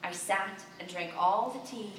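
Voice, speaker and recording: A woman reading literary fiction aloud as a dramatic, deliberate stage narration in a theatre. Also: medium pitch, fairly bright tone. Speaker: stage reader. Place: live theatre performance. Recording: echoey theatre, distant mic, noisy.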